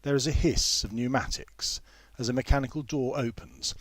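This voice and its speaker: A man narrating a literary story in a measured, formal manner with precise phrasing. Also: mid-range voice, steady tone, husky, dry recording. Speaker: author reading own novel.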